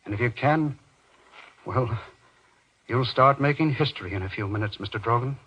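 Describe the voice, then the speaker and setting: A man's voice: mid-range voice, moderate pace, background noise, lightly acted. A man playing an earnest, insistent doctor in golden age radio horror acting, commanding and theatrical. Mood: urgent, insistent. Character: earnest, urgent doctor pressing a frightened man. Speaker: actor in a radio horror drama. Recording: dull lo-fi old radio recording.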